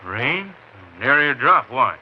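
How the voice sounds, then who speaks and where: A man's voice: mid-range voice, big pitch swings, unhurried. A man playing a terse, folksy, drawling country sheriff in a western radio drama. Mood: plain, puzzled. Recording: muffled old radio recording, faint noise.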